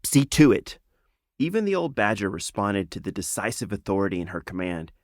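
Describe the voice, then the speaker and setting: A man with a lowish voice narrates a fantasy adventure in a dramatic, scripted style. The recording is close and dry, with no room sound. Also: sing-song, fast talker, no echo. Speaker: author narrating own audiobook.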